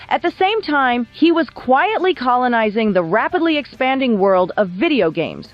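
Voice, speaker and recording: A woman narrates a scripted biography in a polished storytelling style. Her voice sits fairly high and moves with lively intonation, with music behind it. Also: dry recording, lo-fi sound. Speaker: documentary narrator. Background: background music.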